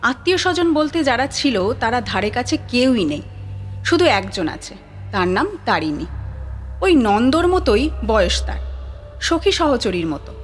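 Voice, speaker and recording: A woman narrating a scripted ghost story in a lively, wry storytelling manner. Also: a little high, unhurried. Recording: sitar music bed behind.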